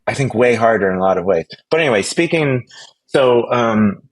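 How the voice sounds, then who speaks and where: A man speaking casually as an interview guest, animated and emphatic, rambling through false starts and repeated words. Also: mid-range voice, moderate pace, poor audio. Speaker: interview guest, product executive.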